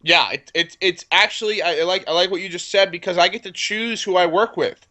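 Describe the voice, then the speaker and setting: A man in his twenties with a slightly high voice pitches his business in a conversational interview. He sounds sure of himself and a bit boastful, stressing points through repetition. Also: brisk, bright, forceful tone. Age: 20s. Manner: self-assured, boastful. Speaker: entrepreneur interview guest.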